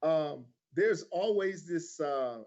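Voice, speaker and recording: A man's voice: fairly high pitch, animated, takes it slowly, darkish tone. A middle-aged man from Houston with an American accent, answering interview questions in an informal, candid way. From Houston, Texas, United States. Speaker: interview guest, insurance agent. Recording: dull lo-fi livestream audio.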